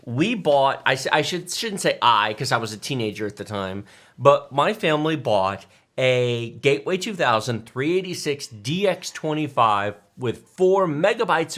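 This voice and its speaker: A man in his forties co-hosting a tech live stream, telling stories casually in an animated, emphatic, enthusiastic way. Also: medium pitch. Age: 40s. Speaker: tech show co-host.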